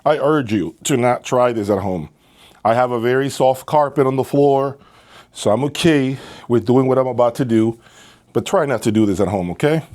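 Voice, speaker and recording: Emphatic man reviewing gear, mid-pitched voice. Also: moderate pace, darkish tone. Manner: emphatic, repeats phrases for stress. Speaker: host of an audio equipment review channel. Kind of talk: casual product review talk to camera.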